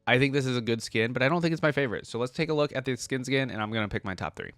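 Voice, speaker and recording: A man's voice: mid-range voice, very fast, fairly bright tone, dry recording. A man hosting a reaction video, talking casually and off the cuff in informal, slangy language as he thinks aloud.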